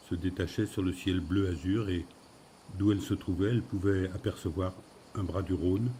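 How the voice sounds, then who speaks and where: A man's voice: sits low, warm tone, a little hiss or hum. A man narrating a scripted story.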